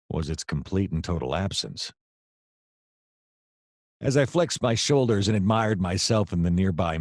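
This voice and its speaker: An actor playing a seedy, self-deluded private detective, narrating a comic noir radio play in a deadpan, melodramatic way. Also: male voice, sits low, dry recording, studio quality. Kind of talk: hardboiled noir first-person narration, comedic radio play.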